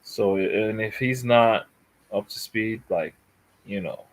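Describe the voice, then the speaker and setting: Male pundit, low pitch, halting casual delivery with fillers. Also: low-quality recording. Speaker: panel guest. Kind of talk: casual football chat.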